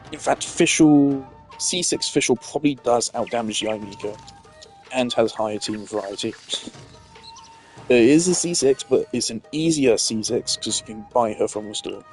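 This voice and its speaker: A man's voice: mid-range voice, normal speed, darkish tone, faint music behind. A man streaming a video game, giving casual, opinionated commentary full of filler words.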